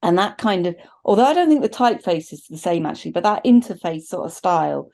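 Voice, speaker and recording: A woman's voice: lowish voice, animated, fast, vocal fry, mellow. A middle-aged woman chatting enthusiastically as a panelist in a casual film review on a video call.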